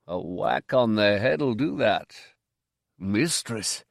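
A man playing a blunt, terse old infirmary keeper who is gruff but kind. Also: on the low side, lively intonation, takes it slowly, no echo, studio quality, heavily acted.

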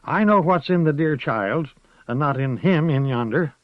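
Man doing old earthy witch woman, folksy rustic speech, high and singsong, dull lo-fi dry recording. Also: expressive, moderate pace, no echo, close to an everyday voice. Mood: folksy, knowing. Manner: folksy rustic speech, calls people dearie; rocking, chanting incantation. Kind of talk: audiobook character voice.

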